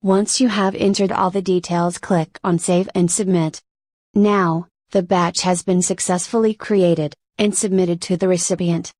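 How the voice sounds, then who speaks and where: A woman reading scripted instructional narration for a software tutorial in a measured, step-by-step manner. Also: fairly low pitch, fairly even intonation, no echo, studio quality.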